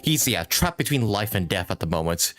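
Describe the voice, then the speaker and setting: Jokey, animated male game streamer. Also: fairly low pitch, a little fast. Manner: jokey, laughs easily, sweary. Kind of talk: casual live gameplay commentary. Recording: stream with game music behind.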